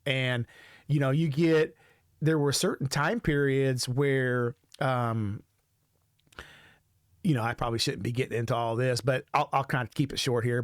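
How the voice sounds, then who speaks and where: A man hosting a music reaction show, talking off the cuff in a halting, rambling way and often repeating himself. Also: mid-range voice, clear, resonant tone, no echo, studio quality. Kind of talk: casual off-the-cuff reaction commentary.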